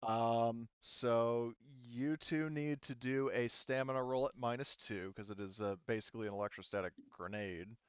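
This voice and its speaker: A smooth-voiced man narrates a tabletop game as game master. He talks in a casual, halting way, close and dry, though the sound is slightly dull and lo-fi. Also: medium pitch, dry recording.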